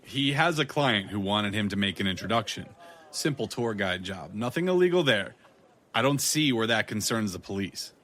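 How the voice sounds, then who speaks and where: An actor playing a guarded, sardonic ex-Marine detective in an audio drama, laconic and quick with retorts. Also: male voice, lowish voice, animated, sharp tone. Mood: defensive.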